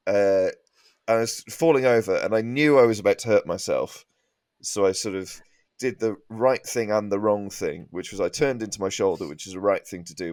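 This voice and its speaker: A man telling comic anecdotes as a podcast guest in a halting, rambling way. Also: deep voice, darkish tone, no room sound, clean studio sound.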